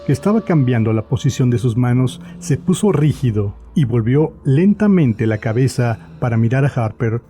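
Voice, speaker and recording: A man narrates a horror tale in a scripted, literary style. His voice sits at a middle pitch, with music clearly playing behind him. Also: lively intonation, moderate pace, hardly any pauses, mellow.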